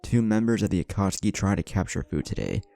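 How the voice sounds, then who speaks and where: A man's voice: deep voice, animated, fast talker, vocal fry, dark tone, no room sound. A man voicing a brash, loyal young male ninja who talks bluntly in a fan story. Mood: casual, frank. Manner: blunt, informal. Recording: background music under voice.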